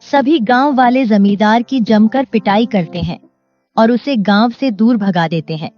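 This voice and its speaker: A woman's voice: on the high side, a little slow. A woman reading scripted storytelling narration as the narrator. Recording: dull lo-fi audio. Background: music.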